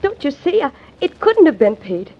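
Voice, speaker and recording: A woman's voice: high voice, big pitch swings, normal speed. An actress in 1940s radio acting, playing an earnest, defiant young woman. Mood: insistent. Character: spirited young woman, wounded heroine. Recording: dull old radio recording.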